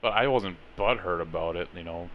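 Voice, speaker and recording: Very deep-voiced laid-back man. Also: a little fast, narrow, tinny audio, slight background noise. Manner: laid-back, filler-heavy. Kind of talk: casual chat.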